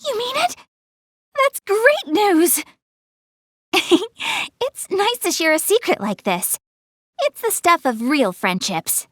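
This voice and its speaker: A woman's voice: very high voice, big pitch swings, slow pace, pauses often, no echo, studio quality, heavily acted. A woman voicing a young anime goddess girl in a video game, proud, chatty and earnest, easily flustered. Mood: pleased, friendly. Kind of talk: English anime video game character dialogue.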